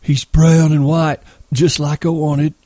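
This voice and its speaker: A man voicing a big, childlike, simple-minded man with breathy, pleading, rustic speech in a story. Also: on the high side, flat delivery, slow pace, warm tone, dry recording, clean studio sound, pitched above the performer's own voice. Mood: breathless, eager.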